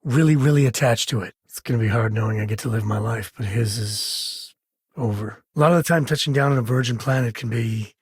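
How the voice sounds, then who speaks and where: Husky rugged American space cowboy. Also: male voice, medium pitch, fairly quick, dry recording. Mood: earnest, measured. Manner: folksy, wry, earnest delivery. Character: rugged frontier space-cowboy companion, wry, protective father. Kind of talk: video game character voice acting.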